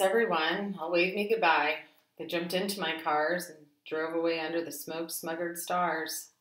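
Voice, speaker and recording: Expressive woman reading aloud in an echoey room. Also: fairly low pitch. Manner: emphatic, rhythmic. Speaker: storybook reader. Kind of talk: expressive read-aloud of a rhyming children's story. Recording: roomy echo.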